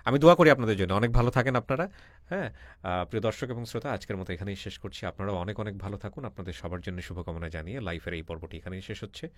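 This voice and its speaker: A man's voice: lowish voice, racing, dry recording. A man with a Bangladeshi accent hosting an emotive interview show, often repeating phrases for emphasis. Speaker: radio show host.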